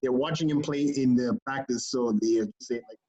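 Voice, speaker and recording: A man co-hosting a casual sports podcast, animated and laughing often, with plenty of fillers. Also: mid-range voice, normal speed, muffled recording. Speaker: podcast co-host.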